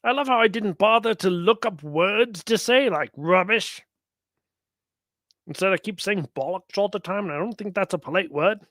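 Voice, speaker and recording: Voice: medium pitch, normal speed, fairly bright tone, dry recording, clean studio sound. A commentator giving sarcastic religious reactions to clips, mocking and often acting out imagined exchanges.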